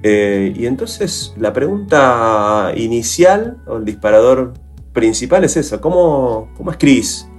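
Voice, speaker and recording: A man's voice: mid-range voice, big pitch swings, unhurried, soft background music. A man hosting an interview podcast, admiring and prone to repeating words as he starts phrases. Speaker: podcast host.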